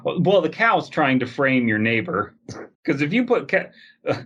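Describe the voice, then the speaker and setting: A man's voice: a little high, sing-song, measured pace, fairly bright tone, breathy edge, dull audio. A man co-hosting a comedy podcast, riffing casually in a playful, rambling, mock-explanatory way. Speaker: podcast host.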